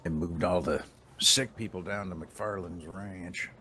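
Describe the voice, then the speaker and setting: A man with a gravelly voice roleplaying a drifter in a western game, using folksy frontier phrasing and teasing remarks. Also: low-pitched, steady tone, unhurried, bright, forceful tone, a little hiss or hum. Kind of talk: in-character western roleplay chat.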